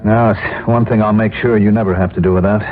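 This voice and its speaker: Harried, troubled husband, played by an actor, 1970s radio drama, fairly low pitch, thin phone-like sound. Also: male voice, steady tone, quick pace, close to an everyday voice. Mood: weary, evasive. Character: guilt-ridden, harried family man narrating his troubles. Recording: tinny 1970s radio recording with music.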